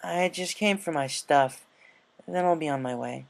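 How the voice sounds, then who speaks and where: A man's voice: a little high, a little slow, creaky, voice pushed up. A man voicing a young female cartoon pegasus, a stubborn, troubled athlete, in a casual and frank way for a fan story. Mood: reluctant. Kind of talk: character dialogue in fan fiction reading.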